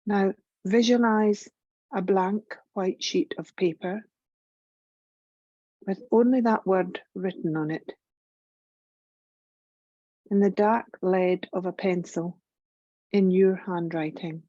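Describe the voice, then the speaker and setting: A woman guiding a yoga relaxation meditation in a gentle, soothing, measured way, leaving long pauses. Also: medium pitch, steady tone, no room sound, muffled recording. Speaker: yoga nidra meditation guide.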